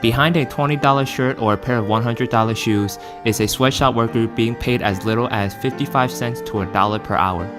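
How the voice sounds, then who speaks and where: A man's voice: medium pitch, fairly quick, few pauses. A student man reading a scripted narration in an earnest, read-aloud way. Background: music.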